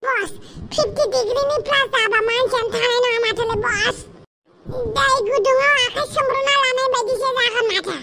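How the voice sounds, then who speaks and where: A woman voicing a cheeky, eager young singer in a cartoon, brisk and pleading. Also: very high-pitched, noisy background. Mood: complaining. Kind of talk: cartoon dialogue. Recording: loud music over the voice.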